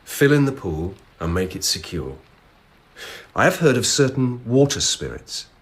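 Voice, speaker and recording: An actor playing a shrewd, dutiful lieutenant investigator in a radio drama, with deliberate delivery. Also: male voice, mid-range voice, very animated. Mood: respectful, persuasive.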